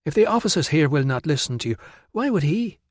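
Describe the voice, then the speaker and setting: A man's voice: on the high side, big pitch swings, a little fast, no echo, voice pushed up. A man narrator plays a firm, sceptical married woman in an audiobook. The delivery is curt and impatient, with a no-nonsense edge. The sound is dry and close. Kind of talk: audiobook character voice.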